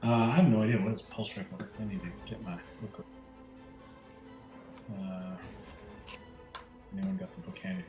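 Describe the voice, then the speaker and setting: A man's voice: fairly low pitch, normal speed, long pauses. A man bantering casually as a player in a tabletop game, recorded in an echoey room. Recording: echoey room, music behind. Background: background music.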